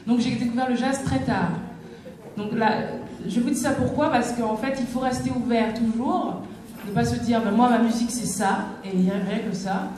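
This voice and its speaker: A woman's voice: on the high side, normal speed, a little mellow. Hesitant woman. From Guadeloupe. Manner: hesitant, many fillers and restarts. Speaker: singer visiting a school. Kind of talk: informal talk to schoolchildren. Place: large echoey hall. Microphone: distant microphone. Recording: echoey hall from far off, background noise.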